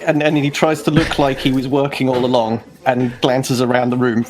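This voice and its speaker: A man's voice: medium pitch, little pitch movement, normal speed, darkish tone. A man talking casually as a player in a tabletop game, describing his character's actions. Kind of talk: casual chat and character narration.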